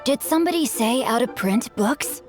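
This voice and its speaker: A woman's voice: a little high, lively intonation, normal speed, close to an everyday voice. A woman voicing a polite, earnest young male bookworm with formal, eloquent manners in a video game. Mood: courteous, eager. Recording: music under the voice. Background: game music.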